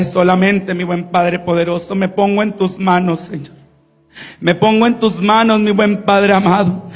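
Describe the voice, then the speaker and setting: A man in his twenties preaching in Spanish, leading the congregation and praying fervently with repeated invocations. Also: high voice. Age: 20s. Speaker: guest preacher. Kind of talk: evangelical sermon and prayer.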